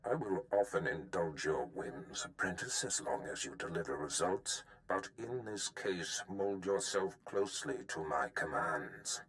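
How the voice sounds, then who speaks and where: A man voicing a menacing Sith lord in a video game, stern, measured and imperious. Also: low-pitched, expressive, fairly bright tone. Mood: cold command. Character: commanding, menacing male Sith lord and master. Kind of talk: scripted video game acting.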